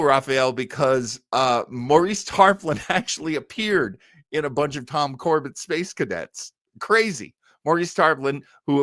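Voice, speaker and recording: A man's voice: mid-range voice, fairly bright tone, no room sound, clean studio sound. A middle-aged or older American man hosting a live online show, chatting casually with rambling, chuckling asides. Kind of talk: casual livestream chat and announcements.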